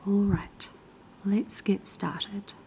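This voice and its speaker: A young woman with a New Zealand accent whispering unhurried ASMR chat in a kitchen, her voice raspy and hoarse after being sick. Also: mid-range voice, steady tone, a little hiss or hum. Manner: soft, unhurried whispering with many ums. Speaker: ASMR creator. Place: kitchen of a quiet flat.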